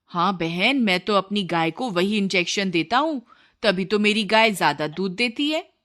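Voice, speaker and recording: A woman puts on a scheming village man in a cartoon tale. The neighbour is chummy and secretive, confiding in a medium-pitched female register. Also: expressive, moderate pace, a bit bright, lightly acted. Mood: conspiratorial.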